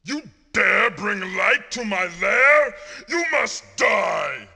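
A man voicing a menacing demon lord villain in a cartoon game, with a gravelly voice. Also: high voice, very animated, slow pace, noisy background, exaggerated voice. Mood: enraged. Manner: threatening, commanding.

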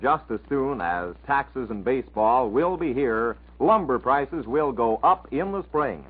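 Casting: American man, husky and animated, 1940s radio ad announcer on a tinny old broadcast. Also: mid-range voice, a little slow. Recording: narrow, noisy vintage 1940s radio broadcast.

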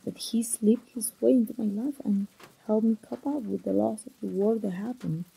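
A young woman voicing an engaged woman confiding in an old friend, in a soft, breathy, close ASMR roleplay style. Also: medium pitch, steady tone, normal speed, heavily acted, rough recording. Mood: wistful, confiding. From Mexico.

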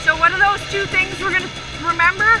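A woman's voice: very high voice, steady tone, fast talker. A young woman giving upbeat riding tips while out on a forest trail on a dirt bike, recorded on a helmet camera mic. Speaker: motovlogger riding instructor.

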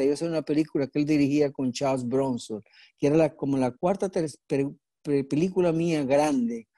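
An older man with a Dominican Spanish accent tells stories in a mellow, slightly raised voice. He talks animatedly, breaking off and restarting his sentences. The sound is dry and close, picked up by an earbud mic on a video call from a room at home. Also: fairly high pitch, no echo. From Dominican Republic. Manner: animated, halting with restarts and repeats. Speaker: actor guest. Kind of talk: casual storytelling interview. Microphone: earbud headset on video call.

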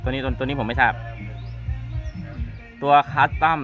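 Male trainer, mid-pitched, informal demo delivery with repeated words, muffled lo-fi sound. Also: steady tone, slow. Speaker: product trainer demonstrating network testers. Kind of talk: informal hands-on product walkthrough. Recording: muffled lo-fi audio, music underneath. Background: background music.

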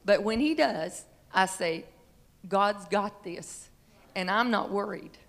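A woman in her fifties with a slightly raspy voice gives an earnest, halting testimony of faith to a congregation, speaking into a handheld microphone. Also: mid-range voice. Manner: earnest, halting, emphatic on key phrases. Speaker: church member giving testimony before singing. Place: large church sanctuary. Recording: reverberant church hall through handheld microphone.

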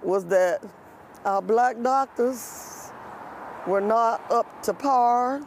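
A woman in her eighties, a retired nurse, slowly and deliberately recalling her working life in an outdoor interview. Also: on the high side, gravelly, a little hiss or hum. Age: 80s. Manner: slow, deliberate storytelling. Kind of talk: interview recollection. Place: outdoors by a city street.

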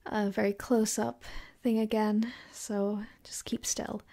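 A woman playing a gentle, caring girlfriend in an ASMR roleplay, speaking softly and hesitantly, sometimes whispering, close to the mic. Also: medium pitch, level tone, takes it slowly, creaky. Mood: tender, reassuring. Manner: soft-spoken, hesitant, rambling. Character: gentle caring girlfriend, comforting her partner. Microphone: close binaural microphone.

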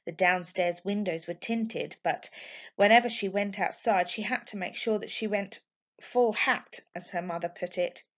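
Woman, storybook reading, expressive delivery, mid pitch, slightly muffled lo-fi sound. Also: normal speed. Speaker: teacher reading a book aloud. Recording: dull lo-fi home recording.

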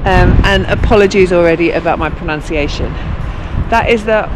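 A woman in her forties guides viewers around town, speaking casually with plenty of ums. She holds the camera at arm's length outdoors, and a steady hum of street traffic sits clearly under her voice. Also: fairly low pitch, moderate pace. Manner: chatty, frequent ums, recommending sights. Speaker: travel vlogger. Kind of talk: self-guided walking tour vlog. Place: snowy city streets outdoors. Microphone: handheld camera at arm's length.

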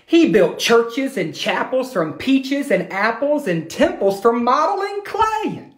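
An American man, a teacher in his thirties, reading aloud to children and asking them questions in an expressive, instructional way, recorded on a phone at home. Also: very high-pitched, slow pace. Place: small room at home, indoors. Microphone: phone at arm's length. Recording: echoey room, phone recording.

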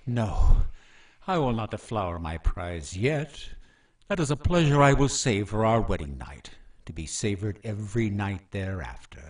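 Man acting a husky, imperious young prince. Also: lowish voice, sing-song, a little slow, recorded from a distance. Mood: menacing, gloating. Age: young adult. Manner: imperious, theatrical. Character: cruel, arrogant, power-hungry young prince demanding a bride. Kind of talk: radio play acting.

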